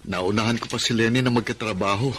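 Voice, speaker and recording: A man's voice: on the low side, steady tone, fast talker, fairly bright tone, a little hiss or hum, close to an everyday voice. A man acting in a radio drama as a respectful young son, deferential and matter-of-fact. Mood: polite, informative. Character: polite, respectful young working son and brother.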